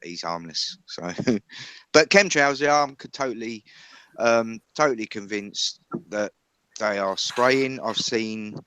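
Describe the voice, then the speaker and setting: A chatty man on a casual video call who is quick with questions. His voice comes across forceful and bright. The sound is close and dry, with no room around it. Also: on the low side, clean tone, dry recording, low-quality recording. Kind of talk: casual group chat.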